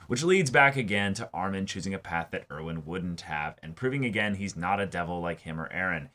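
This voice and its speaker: A man with a fairly low but bright, punchy voice hosts a reaction show. His delivery is animated and varied in pitch as he moves between reading comments aloud and talking them over casually. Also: brisk, a little hiss or hum. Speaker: host of an anime reaction show.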